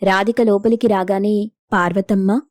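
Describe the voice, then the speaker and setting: A woman storyteller reads a scripted moral tale. Her tone is soft-edged and mellow, at a medium pitch. The recording is close and dry. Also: steady tone, normal speed, no echo. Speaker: story narrator.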